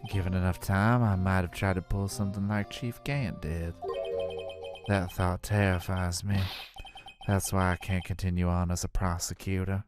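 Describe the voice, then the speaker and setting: A man's voice: sits low, fairly even intonation, moderate pace, music in the background, lightly acted. A man voicing a brooding, formal prosecutor full of self-doubt in a fan dub of a video game. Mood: brooding, troubled. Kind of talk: fan voice acting of a visual novel game.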